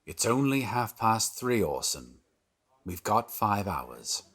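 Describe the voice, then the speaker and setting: An actor playing a practical, exasperated radio producer in an audio drama. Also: male voice, lowish voice, sing-song, slow pace, a bit bright, breathy edge, exaggerated voice.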